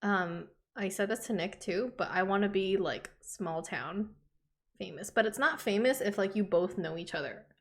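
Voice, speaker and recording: A young woman speaks as a podcast co-host in casual conversation. Her pitch is middling for a woman, and she talks informally, as in everyday chat. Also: moderate pace.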